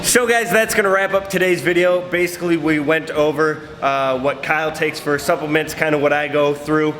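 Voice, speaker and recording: A man's voice: a little high, steady tone, moderate pace, background noise. A young man hosting a fitness vlog, giving casual, filler-heavy, upbeat gym instruction. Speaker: fitness vlogger. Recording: roomy gym with music behind.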